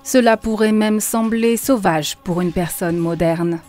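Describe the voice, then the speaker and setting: A woman voices a wise spiritual teacher in an animated film. She speaks calmly and patiently, like a teacher guiding a student. Her voice sits in a middle range, with faint music underneath. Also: medium pitch, measured pace, natural voice. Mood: instructive. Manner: calm, instructive.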